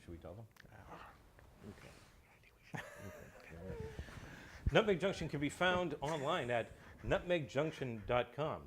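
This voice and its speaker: A man's voice: on the high side, big pitch swings, measured pace, long pauses, a little hiss or hum. A man speaking as a guest in a casual interview about his creative work, hesitant and often repeating words mid-sentence. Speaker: guest, writer and creator of a comedy audio show.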